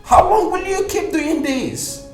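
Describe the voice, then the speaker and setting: A man's voice: very high-pitched, slow pace, a little mellow, echoey room, soft background music. An exasperated, blunt Nigerian friend in a film drama, scolding and emphatic as he gives a warning.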